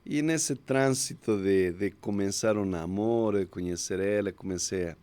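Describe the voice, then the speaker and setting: A man in his fifties from Córdoba, Argentina, with a strong Argentine Spanish accent, telling his life story as a podcast guest in a relaxed, reflective way. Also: fairly low pitch. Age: 50s. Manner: unhurried, reflective storyteller, often restarts with "então". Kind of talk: casual storytelling interview.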